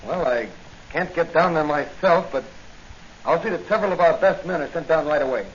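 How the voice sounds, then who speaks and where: An actor playing a confident, brisk patrolman and secret masked crime-fighter in a 1940s radio drama, speaking with an inquisitive air. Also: a little high, natural voice. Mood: concerned, urgent. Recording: dull, noisy 1940 radio recording.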